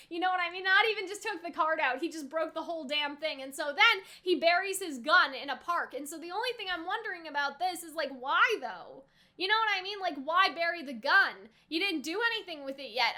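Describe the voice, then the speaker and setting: A woman's voice: high voice, expressive, a little fast, pushed and bright. A young adult woman giving casual reaction commentary in an informal, slangy way, often tossing in rhetorical questions. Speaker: reaction video host.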